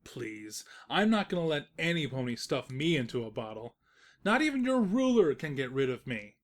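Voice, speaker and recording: Woman as a smug, very low-voiced teasing spirit. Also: very animated, moderate pace. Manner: mocking, self-satisfied. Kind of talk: acted comedic fan fiction reading.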